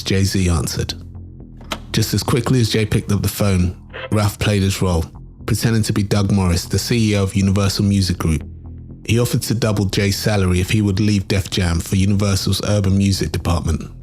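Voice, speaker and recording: A man reading scripted documentary narration in a measured, dramatic storytelling manner. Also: low voice, fairly even intonation, a little mellow, faint music behind.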